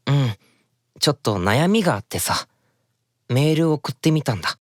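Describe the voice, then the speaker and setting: A man's voice: a little high, expressive, moderate pace, no echo, clean studio sound, natural voice. A man playing a sleepy, mild youngest demon brother in an anime audio drama. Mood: mild, troubled.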